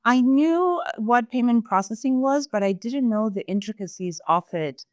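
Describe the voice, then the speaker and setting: A young woman from Zimbabwe, a sales employee answering interview questions in a thoughtful, measured way, with some fillers. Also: a little high, lively intonation, dry recording.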